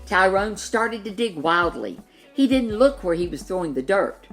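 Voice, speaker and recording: A woman storyteller giving an expressive reading of a children's story. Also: medium pitch, normal speed, faint music behind.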